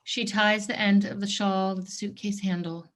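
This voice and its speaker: A woman's voice: medium pitch, steady tone, moderate pace, fairly bright tone. A woman reading stage directions as scripted narration for a play.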